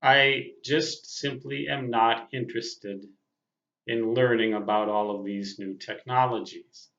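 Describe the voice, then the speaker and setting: A man in his sixties giving a casual, reflective monologue as a veteran radio hobbyist, speaking in a deliberate, halting way with emphatic phrases. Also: mid-range voice, fairly even intonation, a bit bright. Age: 60s. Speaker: veteran amateur radio operator. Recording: muffled audio in a roomy space.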